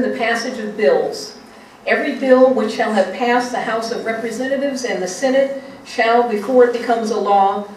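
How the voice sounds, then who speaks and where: Echoey, slightly high-voiced woman reading. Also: darkish tone. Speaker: guest reader. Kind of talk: formal reading aloud of a legal document. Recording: very echoey, distant, faint noise.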